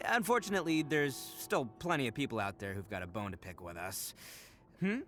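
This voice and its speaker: A brash young oni gang boss from a video game. His voice is bright and punchy, leaping widely in pitch. He boasts in slangy, theatrical bursts, a goofy and cocky delinquent through and through. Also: big pitch swings, moderate pace, faint music behind. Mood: earnest, confident. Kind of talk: animated video game voice acting.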